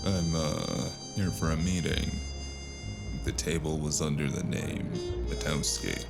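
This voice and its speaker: A man voicing a very deep, gravelly noir antihero. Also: full character voice. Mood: wry, weary. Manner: brooding, sardonic, measured delivery. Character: world-weary noir man, smoker haunted by his deaths. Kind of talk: noir audio drama with first-person narration. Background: loud cinematic music.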